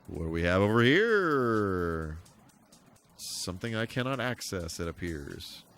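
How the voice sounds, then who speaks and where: A man's voice: low-pitched, very animated, measured pace, a bit bright, smooth. An American man giving casual, rambling, good-humored commentary on a gaming livestream. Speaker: live streamer. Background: game music.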